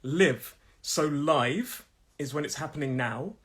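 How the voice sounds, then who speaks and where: A man from England teaching English pronunciation in a relaxed live lesson, repeating words and laughing between explanations. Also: a little high, slow, slightly rough.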